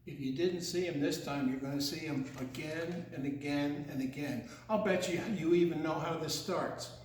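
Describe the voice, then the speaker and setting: Very echoey recording, older man, high voice, children's storyteller, singsong and playful read-aloud. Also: fairly even intonation, a little hiss or hum. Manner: theatrical, singsong, drawn-out delivery with teasing questions. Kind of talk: read-aloud picture-book story with playful asides to young listeners. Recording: very echoey, reverberant room.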